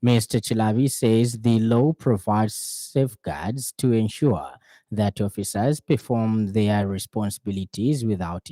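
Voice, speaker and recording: A Zambian man reads a scripted news bulletin on the radio. His voice is low and mellow, with a formal, measured delivery. The sound is close and dry, like a studio booth. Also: no echo.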